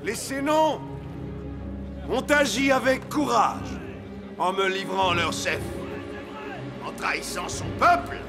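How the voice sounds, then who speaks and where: An actor playing an imperious middle-aged Roman general, delivering a formal, declamatory speech in an animated docudrama. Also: male voice, sits high, music in the background. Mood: accusing, loud. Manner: declamatory, deliberate, forceful projection.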